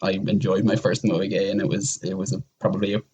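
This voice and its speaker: A man's voice: sits low, little pitch movement, fairly quick, mellow, breathy edge. A man speaking as a podcast guest in a casual discussion of games, rambling and often restarting his phrases. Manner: hesitant, rambling, repeats phrase starts.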